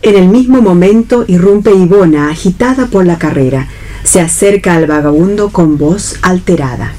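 Narrator for radio theatre: Argentine woman, mellow, soft-edged, scripted reading. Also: on the low side, normal speed, slight background noise. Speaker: stage-direction narrator.

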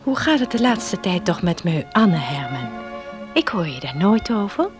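An actress in a Dutch radio drama playing a compassionate, earnest woman, speaking softly in a husky, breathy voice. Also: fairly low pitch, very animated, normal speed, over music. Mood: concerned.

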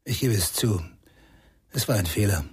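Very raspy, wary, curt man. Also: on the low side, expressive, normal speed. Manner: curt, withholding. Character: wary, evasive, curt man under threat. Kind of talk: German radio crime drama acting.

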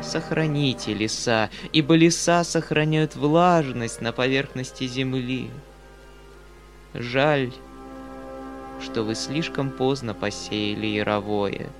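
A man's voice: medium pitch, takes it slowly, faint music behind. A man voicing a pompous, self-righteous, preachy male hanger-on in a theatrical audiobook manner. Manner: haughty, preachy, theatrical, repetitive. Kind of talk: dramatic audiobook character acting.

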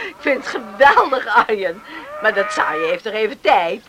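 A woman acting a caring, sensible farm matriarch in a Dutch radio play, in an expressive, reflective manner. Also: a little high, measured pace. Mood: amazed, delighted. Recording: noisy radio play recording.

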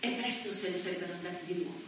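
An actress playing a woman politician recalling the postwar years in a slow, measured, solemn monologue on a stage. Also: on the low side, close to an everyday voice. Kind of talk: theatrical monologue. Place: theatre stage. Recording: echoey stage from far off, faint hiss.

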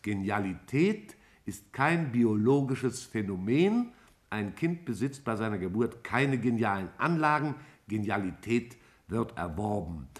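Man voicing a measured, lofty alien cloud intelligence. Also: mid-range voice, lightly acted. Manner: measured, didactic, formal. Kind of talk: 1950s German radio play acting.

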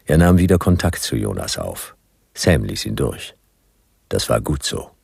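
An actor playing a dry, world-weary detective-story narrator in a German radio drama, with a gravelly voice. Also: male voice, rumbling low, sing-song, measured pace, darkish tone, no room sound, heavily acted. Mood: dry, measured. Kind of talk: scripted noir radio narration. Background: faint music.

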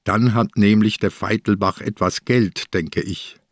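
An ageing Swiss police inspector in a radio crime drama. The voice is fairly low and closely recorded without room sound, dry and chiding in manner, shrewd and matter-of-fact. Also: male voice, fairly even intonation, unhurried, no room sound. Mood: dry, teasing. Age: older. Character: old ailing Bernese police inspector, dry, grumbling, shrewd.

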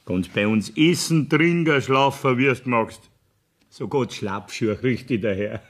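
An actor playing a sly, wary farmer in a 1970s radio play, speaking in a rustic manner with a Bavarian accent. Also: male voice, medium pitch, measured pace, natural voice. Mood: sly, hospitable. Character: sly, wary male farmer sheltering an outlaw.

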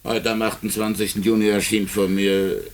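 An actor playing a blustering, flustered Russian district police chief in a 1950s German radio play. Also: male voice, lowish voice, little pitch movement, normal speed, lightly acted. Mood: officious, bossy. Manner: blustering, officious, muttering to himself.

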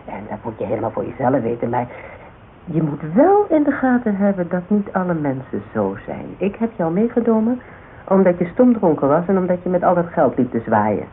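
A woman's voice: fairly low pitch, big pitch swings, fairly quick. An actress playing a frank, sarcastic, worldly woman in a Dutch radio drama. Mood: firm, matter-of-fact. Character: worldly, plain-spoken woman, blunt and teasing. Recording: tinny band-limited radio recording, faint hiss.

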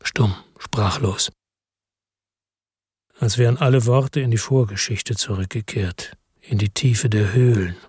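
A man's voice: fairly low pitch, a little slow, darkish tone, husky, no echo, clean studio sound. A man narrating a radio drama in the first person, brooding and introspective.

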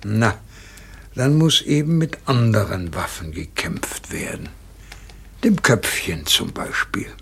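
An actor playing an older, eccentric colonel in a radio crime drama, genial and boastful, savouring his words in a theatrical, deliberate manner. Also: on the low side, sing-song, creaky, a bit bright, husky, full character voice. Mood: genial, urbane.

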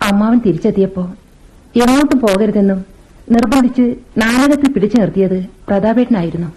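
A woman playing a sorrowful, bitter housemaid in a Malayalam radio drama, speaking in a deliberate, literary and emotive way. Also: mid-range voice, warm tone, close to an everyday voice, rough recording. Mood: somber. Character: sorrowful, bitter housemaid confiding her ruined life.

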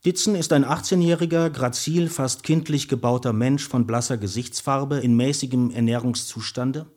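A formal, stern doctor in a radio play, acted by a man. He sounds officious and matter-of-fact as he reads out reports. His voice sits at a medium pitch. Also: darkish tone, close to an everyday voice. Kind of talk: scripted radio play, letter reading.

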